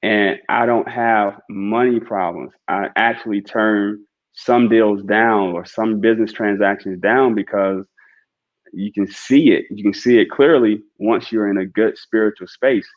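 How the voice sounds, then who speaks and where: Man, low voice, earnest preacher-like podcast guest, dull lo-fi sound. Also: fairly even intonation, measured pace. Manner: earnest, preacher-like, frequent "right?" tag questions. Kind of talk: reflective podcast conversation.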